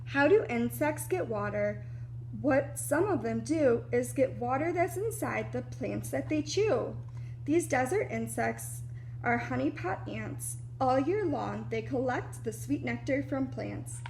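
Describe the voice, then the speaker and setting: Lady teacher reading a kids book out loud, high voice, explains stuff as she goes, noisy hum behind her. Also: a little slow, vocal fry. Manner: animated, explanatory, speaking to young children. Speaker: preschool teacher reading a story aloud. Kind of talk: children's picture book read-aloud with asides.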